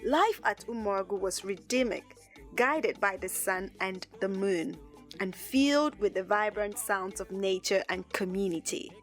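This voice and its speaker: Slow delivery, woman, scripted folktale narration, storyteller, faint background music. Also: mid-range voice.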